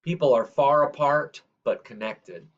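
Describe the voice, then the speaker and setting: A man with a medium-pitched voice narrates a children's storybook. His delivery is measured and expressive, lingering on the ends of phrases. The recording is somewhat dull and lo-fi. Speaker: storyteller reading a children's picture book aloud. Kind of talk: read-aloud scripted narration.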